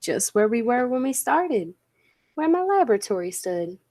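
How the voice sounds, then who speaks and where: A woman playing a bold, absorbed professor and inventor in a 1940s-style radio play, with a deliberate, commanding, theatrical delivery. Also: a little high, very animated, a little mellow. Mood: calm wonder. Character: Dudley, bold, absorbed scientist and professor inventor of a time machine.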